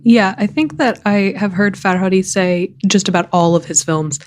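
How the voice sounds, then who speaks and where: A woman's voice: mid-range voice, normal speed, slight background noise. A woman on a film panel talking enthusiastically about a movie she loved. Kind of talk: casual discussion.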